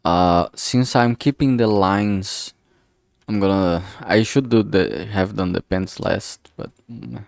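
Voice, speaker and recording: Slow, creaky-voiced man streaming casually. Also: on the low side, no echo, clean studio sound. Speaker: streamer making a visual novel. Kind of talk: casual livestream commentary while drawing.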